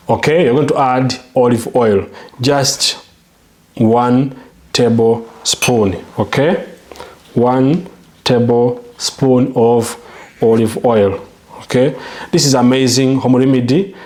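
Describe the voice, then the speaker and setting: A man's voice: mid-range voice, a little mellow. A man from Uganda with a Ugandan English accent, hosting a how-to video. He talks emphatically and promotionally, often checking in with the viewer. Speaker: channel host.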